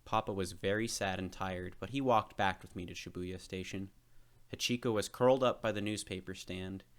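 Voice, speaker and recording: A man's voice: low-pitched, fairly even intonation, normal speed, a bit bright, clean tone. A man reading a children's storybook aloud as narrator. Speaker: storybook reader.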